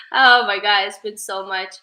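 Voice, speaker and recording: A woman's voice: medium pitch, bright, forceful tone, reverberant. A woman from Sweden, whose first language is Persian, chatting casually on a livestream and thanking viewers by name. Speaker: livestreamer and advocate.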